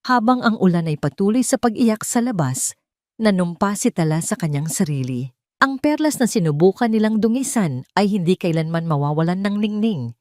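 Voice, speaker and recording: Female Filipino narrator, lower register, solemn melodrama delivery for audio fiction, close dry sound. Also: darkish tone, dry recording. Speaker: story narrator. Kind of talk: dramatic scripted audio-story narration.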